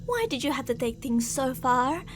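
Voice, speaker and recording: A woman's voice: high-pitched, big pitch swings, moderate pace, a little hiss or hum. A woman playing an obsessive, delusional stalker in an ASMR roleplay, theatrical and sweetly menacing, with jealous, volatile endearments and reproaches. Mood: disappointed, jealous anger.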